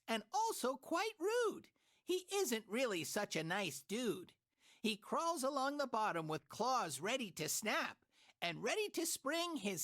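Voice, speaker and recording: Playful, boastful kids' game guide, voiced by a woman: theatrical rhymes, hugely swooping intonation, slightly high voice. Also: fairly bright tone, heavily acted. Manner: theatrical, emphatic rhyming. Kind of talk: scripted rhyming children's educational narration.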